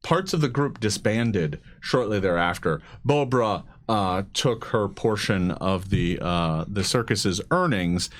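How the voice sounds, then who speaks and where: Halting man running a tabletop game. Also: on the low side, lively intonation. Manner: halting, repeats words, chuckles. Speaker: game master. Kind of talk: improvised tabletop game narration.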